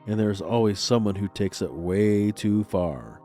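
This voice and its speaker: A man's voice: on the low side, expressive, unhurried, warm tone, faint music behind. Stuffed-up man hosting a spooky podcast. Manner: playfully spooky, theatrical host patter. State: stuffed up, congested nose. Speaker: podcast host. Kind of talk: scripted paranormal podcast narration.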